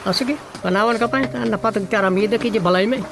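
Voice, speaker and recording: A man's voice: high voice, very fast, creaky, over music. A man playing a wry village councilman in a comic radio drama, with a teasing, folksy manner. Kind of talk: radio drama comedy acting.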